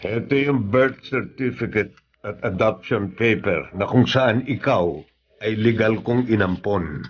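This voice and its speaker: An actor playing a remorseful but firm middle-aged father in a Filipino radio drama, speaking solemnly and deliberately. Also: lowish voice, husky, dull audio.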